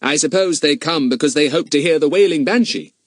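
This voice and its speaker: A man's voice: a little high, a little fast, no echo, studio quality, pitched above the performer's own voice, close to an everyday voice. A man voicing a clever, confident schoolboy sleuth in an audiobook, talkative, polite and mock-innocent.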